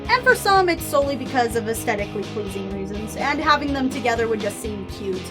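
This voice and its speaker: A woman's voice: a little high, lively intonation, fast talker, over music. A woman hosting a scripted video essay, with an informal, opinionated and playfully emphatic manner.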